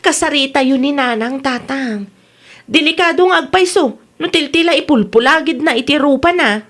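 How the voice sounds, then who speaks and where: A very high-voiced young-sounding daughter played by a woman from an Ilocano radio comedy drama. She speaks with an Ilocano accent, earnestly nagging and fretting over her parents. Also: normal speed, fairly bright tone. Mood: worried, scolding. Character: concerned, scolding grown daughter who supports her parents.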